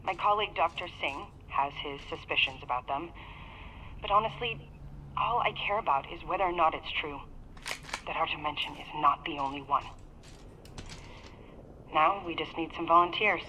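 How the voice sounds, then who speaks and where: An actress playing a driven young woman scientist and pilot, recording a calm, matter-of-fact research log, heard as a muffled in-game recording with faint hiss. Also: low voice. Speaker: game character audio log.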